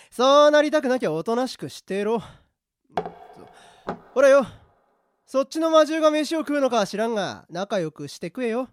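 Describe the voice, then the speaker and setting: Man playing a brash, animated prison guard. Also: extremely high pitch, normal speed, long pauses, smooth, no room sound. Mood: mocking, threatening. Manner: brash, slangy, taunting. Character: rough, mocking male prison guard, secretly soft-hearted. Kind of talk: amateur radio drama acting.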